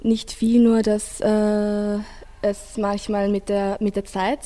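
A teenage girl, a school student, presenting conversationally with classmates and speaking in a halting way. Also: medium pitch, level tone, mellow. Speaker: school student in a radio project.

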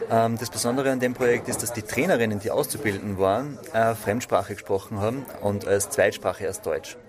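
A man's voice: on the low side, brisk. A man giving a spontaneous interview account of his work as a radio trainer. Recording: busy crowd murmur behind. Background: crowd chatter.

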